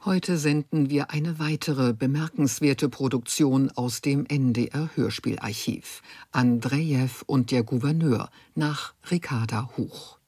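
A man's voice: mid-range voice, unhurried, hardly any pauses, darkish tone, slightly rough, dry recording, faint music behind. A man presenting on radio, reading a scripted introduction at a studio microphone. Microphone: close studio microphone.

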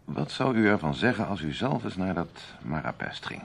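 An actor in a Dutch radio play playing a grave, authoritative intelligence major, measured and emphatic. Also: male voice, sits low, big pitch swings, gravelly, slightly rough, full character voice. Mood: grave, persuasive.

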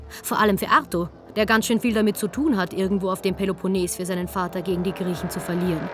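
A woman's voice: medium pitch, fairly even intonation, fast talker, soft background music. A woman narrating a scripted comic history programme with wry, colloquial asides.

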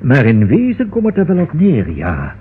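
A husky-voiced, fussy solicitor, nervous and forgetful. His hesitant speech swings up and down in pitch. It sounds like a muffled old radio play. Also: huge pitch swings, moderate pace, full character voice. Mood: earnest. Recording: muffled, band-limited old radio recording.

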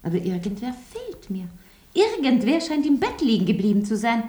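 A woman's voice: fairly high pitch, big pitch swings, normal speed, warm tone, reverberant. An actress playing a bossy, big-hearted French landlady in a radio play, commanding, theatrical and sentimental.

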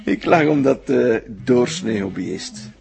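A Flemish actor playing a proud, self-assured and secretive hobby inventor in a television crime drama. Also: male voice, mid-range voice, lively intonation, takes it slowly, vocal fry, darkish tone, soft background music, heavily acted. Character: proud male hobbyist inventor showing off his workshop. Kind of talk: naturalistic TV crime drama acting.

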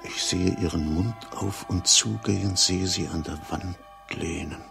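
A man's voice: sits low, unhurried, vocal fry, over music, exaggerated voice. A man playing a dazed, haunted witness in a radio drama monologue, detached and brooding.

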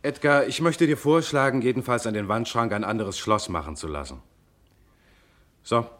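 An actor playing a persistent, courteous but stern police detective who questions in a measured way, in a German radio crime play. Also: male voice, medium pitch, fairly bright tone, lightly acted. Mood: probing, courteous. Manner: interrogating, measured, insistent.

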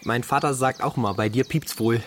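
A man's voice: mid-range voice, brisk, a bit bright, a little hiss or hum, lightly acted. An actor playing a casual, curious young man in a radio drama, asking naive questions and hedging apologetically. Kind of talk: naturalistic radio drama dialogue.

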